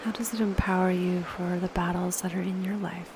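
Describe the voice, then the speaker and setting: Female meditation guide: gentle, slow delivery, frequent vocal fry, noticeable background ambience. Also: on the low side, fairly even intonation, noisy background.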